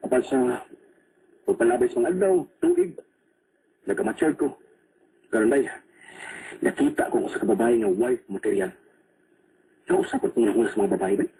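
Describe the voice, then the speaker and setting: An actor playing a lively seaman and womanizer in a radio drama, with a Cebuano Filipino accent. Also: mid-range voice, moderate pace, pauses often. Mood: eager. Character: Rani, lively Filipino seaman, womanizer turned earnest suitor. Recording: faintly noisy radio broadcast.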